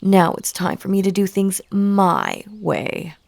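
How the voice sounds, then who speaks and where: A woman's voice: medium pitch, wildly swooping pitch, takes it slowly, gravelly, a little mellow, dry recording, heavily acted. A woman playing an unhinged, lovesick bunny girl in an intimate audio roleplay, coaxing and hypnotic, slipping into whispers. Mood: sweetly menacing.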